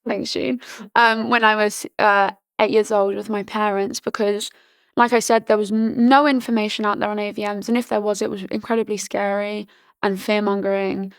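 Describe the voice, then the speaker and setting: Young woman from Britain, early twenties, casual filler-heavy chat, little pitch movement, dry close sound, a bit hoarse. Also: level tone, moderate pace, no room sound. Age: early 20s. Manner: chatty, many "like" fillers and restarts. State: slightly hoarse, losing her voice. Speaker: podcast guest, charity founder.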